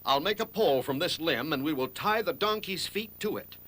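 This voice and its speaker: A man's voice: on the high side, lively intonation, brisk, fairly bright tone, pitched above the performer's own voice. A man playing an earnest, exasperated peasant father in a cartoon fable. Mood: determined. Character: bewildered, exasperated poor father with a donkey. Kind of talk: animated fable dialogue.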